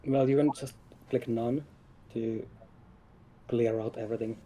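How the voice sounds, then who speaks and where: A man's voice: medium pitch, steady tone, a little slow, dark tone, rough recording. A man speaking casually as a guest game developer, explaining things in an informal chat. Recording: some background noise.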